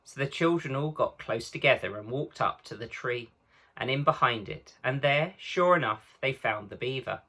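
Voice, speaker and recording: Bright-voiced man reading a storybook aloud. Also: medium pitch, lively intonation, moderate pace, husky. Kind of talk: storybook narration for children.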